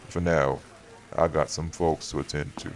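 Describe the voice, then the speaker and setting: A man playing a courteous, protective man of authority in an audio roleplay, with a folksy, measured way of talking straight to the listener. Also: extremely low pitch, flat delivery. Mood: reassuring, earnest.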